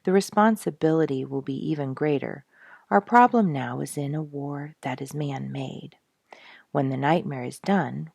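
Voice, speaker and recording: A woman's voice: extremely low pitch, vocal fry, darkish tone, husky, dry recording, clean studio sound, exaggerated voice. A woman reading aloud as a humble, reflective male soldier, earnest and full of wonder, in a storybook letter. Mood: solemn hope.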